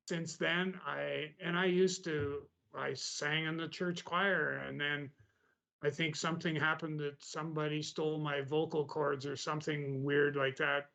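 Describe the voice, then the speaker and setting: A man, a podcast guest in casual chat, speaking haltingly with many restarts. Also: fairly high pitch, little pitch movement, creaky, bright, forceful tone, poor audio. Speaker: guest, mentor.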